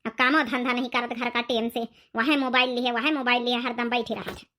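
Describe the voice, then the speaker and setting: A woman's voice: fairly high pitch, little pitch movement, a little fast, pushed and bright, a little hiss or hum. A woman voicing a weary old village grandmother in an Awadhi cartoon, grumbling plaintively about the household. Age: older.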